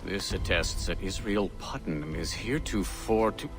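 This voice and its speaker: A man's voice: low-pitched, normal speed, natural voice. A man playing an agitated, haughty older official in a video game cutscene, theatrical and easily indignant. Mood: outraged. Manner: theatrical, indignant, swooping delivery. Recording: loud background music.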